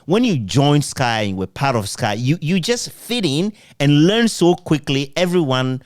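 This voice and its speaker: Male interviewer with a lively, varied pitch. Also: a little slow, no room sound, studio quality. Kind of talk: conversational interview.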